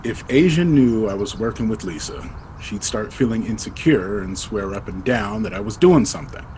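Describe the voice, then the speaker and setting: A man's voice: fairly low pitch, expressive, normal speed, darkish tone, a little hiss or hum, close to an everyday voice, low-quality recording. A man acting in an audio drama as a guarded, regretful husband who talks in a colloquial, defensive way. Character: married man hiding an old engagement, guarded and regretful.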